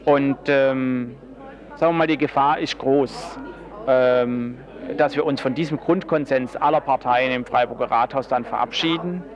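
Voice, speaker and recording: A man's voice: medium pitch, measured pace. Male politician explaining, with crowd noise behind. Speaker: politician being interviewed. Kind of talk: interview answers in formal political language.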